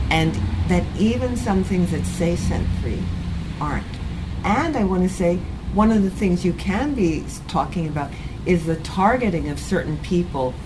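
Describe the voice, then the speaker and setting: A woman facilitating a community meeting on a handheld mic, speaking gently and haltingly as she searches for words. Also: fairly low pitch, background noise. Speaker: group facilitator. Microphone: handheld microphone.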